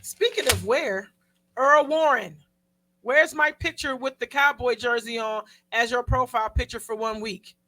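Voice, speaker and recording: A woman's voice: on the high side, measured pace, sharp tone. A woman in her thirties co-hosting a casual talk show, slangy and playful, often mock-protesting. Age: 30s. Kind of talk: casual banter.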